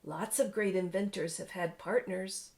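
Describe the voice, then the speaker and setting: A woman reading the part of a patient, gentle father who talks in a soothing way. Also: medium pitch, fairly even intonation, a little slow, close to an everyday voice.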